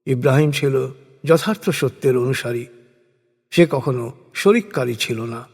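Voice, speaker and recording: A man giving a solemn, measured reading of a translated scripture, phrase by deliberate phrase. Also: medium pitch, animated, gravelly, darkish tone, husky. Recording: long cavernous reverb.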